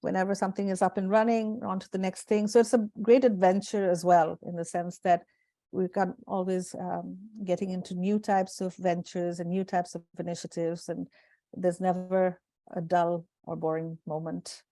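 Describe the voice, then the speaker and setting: A middle-aged woman talks thoughtfully as an interview guest over a video call, her voice mellow and dry through an earphone mic. She pauses often with fillers and restarts her sentences. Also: on the low side, level tone, no room sound. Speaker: guest, executive director. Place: home room indoors. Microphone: earphone headset on video call.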